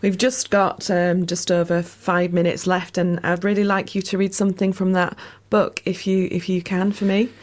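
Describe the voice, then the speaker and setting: A woman's voice: lowish voice, little pitch movement, normal speed, hardly any pauses, darkish tone. A woman interviewing a guest on the radio in a conversational way, as the host of the programme.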